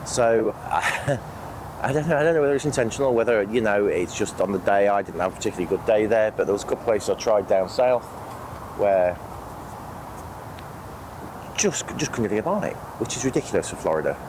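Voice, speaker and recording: A British man with a croaky, sniffly voice from a cold, chatting casually and a little hesitantly as a fishing vlogger outdoors. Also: on the low side, brisk, noisy background. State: has a cold. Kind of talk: casual outdoor vlog talk to camera. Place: outdoors on a lake bank.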